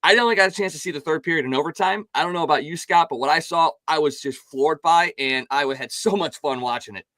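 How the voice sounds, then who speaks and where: A man hosts a casual sports talk show, his voice raspy and worn hoarse. He talks in an upbeat, chatty way, restarting phrases often, with a slightly raised pitch. The recording is close and dry. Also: quick pace, few pauses, sharp tone, dry recording. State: hoarse from calling games.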